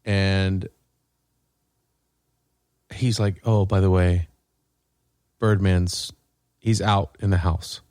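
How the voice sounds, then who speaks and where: A man's voice: deep voice, fairly even intonation, drawn-out words, pauses often, a little mellow, dry recording. A man hosting a podcast, chatting and telling stories casually with a dry, joking delivery. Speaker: podcast host. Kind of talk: casual chat and storytelling.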